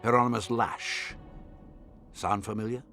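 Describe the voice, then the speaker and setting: A proud, scheming lord from a fantasy game, with a fairly low voice. He talks formally and imperiously, with stern measured authority. Faint music sits behind him. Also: fairly even intonation, vocal fry, sharp tone, heavily acted. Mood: measured, conspiratorial. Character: haughty, scheming male nobleman, stern and formal. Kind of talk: video game voice acting.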